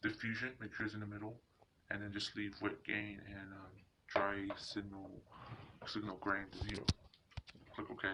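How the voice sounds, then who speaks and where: A man's voice: lowish voice, monotone, moderate pace. A man hosting a casual screen tutorial, talking informally and hesitantly through each step. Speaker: tutorial video host. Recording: muffled lo-fi mic with loud noise and clicks.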